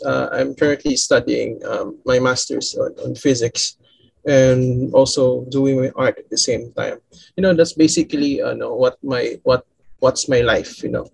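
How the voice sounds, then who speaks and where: A man with a Filipino accent answering as an interview guest, conversational and halting, circling back over his phrases with frequent fillers. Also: mid-range voice, gravelly, husky, no room sound, rough recording. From Philippines. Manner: halting, repetitive, frequent "you know" filler.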